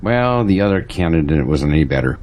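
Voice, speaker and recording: A resigned, pragmatic churchgoing man, with a deep voice that moves up and down a lot. He ponders things matter-of-factly, in audio drama style. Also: very animated, brisk, a little mellow. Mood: wry, resigned.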